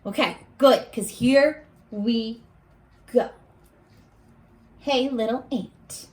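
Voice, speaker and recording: A woman teacher leading a read-aloud lesson for young children in an animated way, asking questions and pausing for answers. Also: on the high side. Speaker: primary school teacher.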